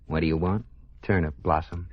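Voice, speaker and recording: An actor playing a wry, affectionate banker husband with dry, teasing sarcasm, in an old radio comedy style. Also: male voice, deep voice, fairly even intonation, moderate pace, a loud crowd, natural voice. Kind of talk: 1940s-50s radio comedy acting. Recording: dull, noisy old radio recording with clicks.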